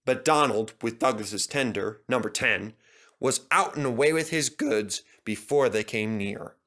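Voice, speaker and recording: A man's voice: medium pitch, unhurried, a bit bright. A man narrating a scripted children's story.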